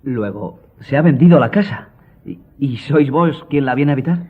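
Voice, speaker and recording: An actor playing a courteous, talkative doorkeeper in an audio drama, deferential and chatty. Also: male voice, medium pitch, animated, moderate pace, husky. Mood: curious. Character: courteous, talkative male doorkeeper of a country house. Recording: muffled, band-limited audio.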